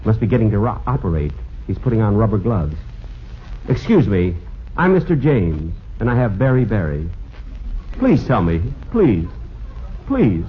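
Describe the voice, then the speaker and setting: Worried sad-sack patient, plaintive and animated, old radio comedy, muffled hissy sound. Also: male voice, on the low side, normal speed, long pauses. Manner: plaintive, comic timing. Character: anxious, hapless male patient seeking a specialist. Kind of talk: 1950s radio comedy acting.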